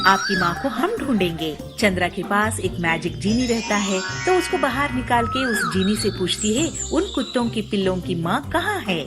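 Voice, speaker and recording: A woman narrating a children's story as a storyteller. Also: medium pitch. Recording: clear music behind the voice.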